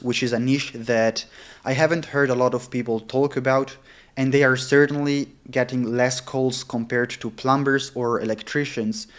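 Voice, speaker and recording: A man who speaks English as a non-native language, with Italian or German as his stronger tongue, talking casually and candidly as a vlogger. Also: mid-range voice. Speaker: vlogger practicing sales cold calls. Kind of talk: casual vlog talk.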